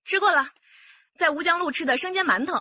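An actress playing a bold, chatty wife in a radio drama, with a breezy, coaxing manner. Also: female voice, very high voice, brisk, bright, forceful tone, no room sound, lo-fi sound, heavily acted. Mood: playful, teasing. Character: bold, chatty wife selling the couple's flat.

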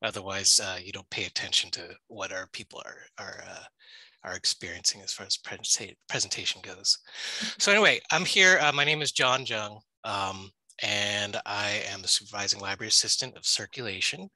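Man, 30s, headset on a video call, bright voice, a bit low-pitched, chatty with lots of fillers. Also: a little fast, dry recording, rough recording. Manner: chatty, frequent fillers and restarts. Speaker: library staff presenter. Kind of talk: casual slide presentation on a video call.

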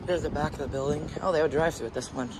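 Teen male vlogger, hurried and chatty, phone mic outside, heavy traffic noise. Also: on the high side, steady tone. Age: teens. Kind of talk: casual walk-around vlog narration. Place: outdoors around an empty fast-food building by a busy road. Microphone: phone camera held at arm's length. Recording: loud traffic noise outdoors.

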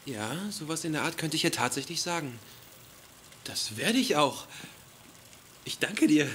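Awkward, nervous young man, swinging pitch. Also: big pitch swings, measured pace, bright, forceful tone, slight background noise, heavily acted. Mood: insecure. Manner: hesitant, stammering. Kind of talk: dubbed sitcom dialogue.

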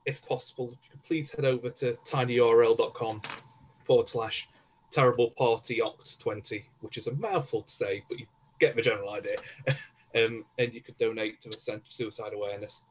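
A man's voice: mid-range voice, fairly even intonation, fairly quick. A man hosting a casual livestream, speaking effusively as he gives thanks. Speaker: stream host. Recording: noisy background on webcam stream.

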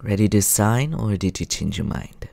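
A man plays a polite, softly teasing male vampire in an intimate ASMR roleplay. The voice is low with a gravelly fry, calm and unhurried. It sits close to the mic in a very dry space. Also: no room sound. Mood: calm, businesslike. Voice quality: low, creaky. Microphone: close microphone.

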